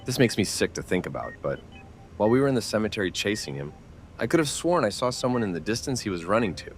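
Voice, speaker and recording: Male police officer, horror drama: very deep, gruff, foreboding, widely varied intonation. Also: very animated, quick pace, soft background music. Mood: uneasy. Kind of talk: horror audio drama acting.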